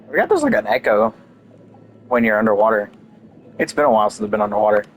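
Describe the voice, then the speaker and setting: A man's voice: on the low side, wildly swooping pitch, fast talker, slight background noise. A man giving casual gameplay commentary, thinking aloud in an offhand way. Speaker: game streamer.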